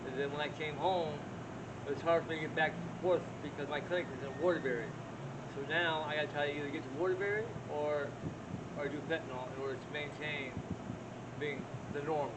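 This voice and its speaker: A man from Connecticut being interviewed outdoors in a park, speaking frankly and haltingly, with lots of fillers. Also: fairly high pitch, steady tone, heavy background noise. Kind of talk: casual interview talk.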